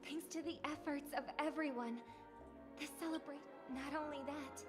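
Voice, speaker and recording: Gracious young orator, a woman voicing her, formal and poised, light high voice over game music. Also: fairly even intonation, moderate pace, close to an everyday voice. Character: gracious young woman giving a ceremonial speech. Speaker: festival speaker.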